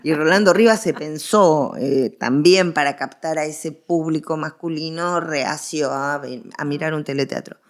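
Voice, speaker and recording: Low, creaky-voiced Argentine woman explaining. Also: unhurried, few pauses. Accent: Rioplatense Argentine. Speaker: academic guest interviewee. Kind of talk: conversational expert interview.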